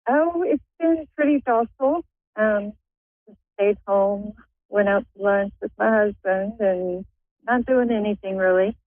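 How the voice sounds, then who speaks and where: A woman calling in to a radio show, speaking calmly and softly. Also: mid-range voice, measured pace, dry recording. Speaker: caller. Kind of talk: phone call-in. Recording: tinny dry phone line.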